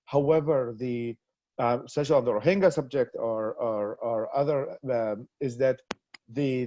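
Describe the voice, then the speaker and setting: Man from Iraq, interview guest on a dull, lo-fi video call; earnest, mid-pitched, restarts sentences, dry sound. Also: mellow, no echo. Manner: earnest, frequent restarts and repeated words. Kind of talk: conversational interview answers.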